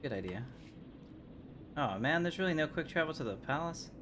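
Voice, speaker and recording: A man's voice: on the high side, animated, moderate pace. A man giving casual live commentary as he streams a video game. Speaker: game livestreamer. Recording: clear background noise, game ambience.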